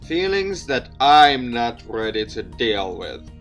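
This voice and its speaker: A man's voice: mid-range voice, sing-song, slow pace, a bit bright, faint music behind. A man hosting a let's play, reading visual novel text aloud with commentary and often tripping over words and repeating them. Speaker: gaming video host.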